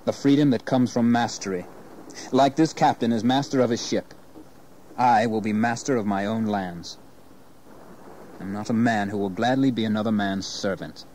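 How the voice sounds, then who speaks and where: An actor playing an ambitious, proud young English gentleman settler in a historical audio drama. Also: lowish voice, moderate pace, close to an everyday voice. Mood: resolute, proud. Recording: noisy 1980s audio drama tape.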